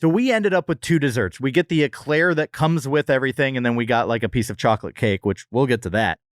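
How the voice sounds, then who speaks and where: A man's voice: mid-range voice, fast talker, dry recording, studio quality. A man co-hosting a podcast, chatting casually and jokily about food with plenty of exaggeration. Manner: jokey, hyperbolic. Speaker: podcast co-host. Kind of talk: casual comedic food review chat.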